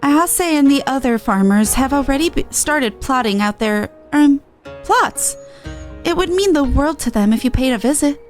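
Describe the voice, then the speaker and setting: Lady streamer goofing around reading game lines, higher voice, bouncy pitch, bit of music behind. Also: expressive, normal speed. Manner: playful, joking. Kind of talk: casual playthrough commentary and reading dialogue aloud.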